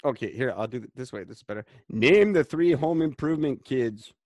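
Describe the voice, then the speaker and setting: A man's voice: mid-range voice, animated, fairly quick, darkish tone, no echo. A man in his forties co-hosting a podcast, rambling and sarcastic, swearing freely in casual banter. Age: 40s. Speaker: podcast co-host.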